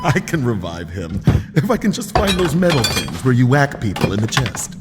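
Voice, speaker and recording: A man's voice: medium pitch, big pitch swings, moderate pace, very noisy, natural voice. A man playing a giggly, callous island doctor. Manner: blunt, giggly, offhand. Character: careless island doctor-vet, gleefully callous. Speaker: physician and veterinarian. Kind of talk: comedic audio drama acting. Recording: reverberant room with music.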